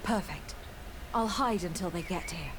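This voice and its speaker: A woman's voice: lowish voice, animated, measured pace, noisy background. An actress playing a composed, resolute noblewoman in a video game, speaking formally and with assurance. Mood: reassuring, resolute.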